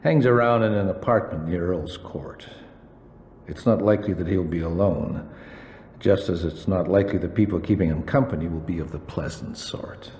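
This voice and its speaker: A man acting as a menacing crime boss in a game cutscene, very low and dark. He chooses his words with formal precision and cool control. The sound is echoey and reverberant. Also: close to an everyday voice. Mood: calm, calculating. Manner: formal, measured, precise wording. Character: cold, menacing, articulate crime boss man hiring a killer. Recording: echoey reverberant space with background noise.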